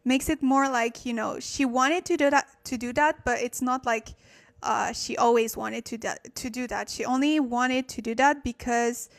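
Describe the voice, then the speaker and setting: A woman's voice: on the high side, fairly even intonation, moderate pace. A woman whose first language is French, speaking English while hosting a casual livestream Korean lesson, thinking aloud with fillers and self-corrections. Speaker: livestream host teaching Korean.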